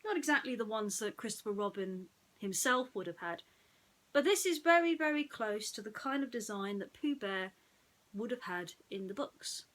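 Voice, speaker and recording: A woman's voice: mid-range voice, animated, moderate pace, fairly bright tone, low-quality recording. A woman storyteller narrating a bedtime story in a chatty way, putting questions to her listeners. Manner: addresses listeners with rhetorical questions.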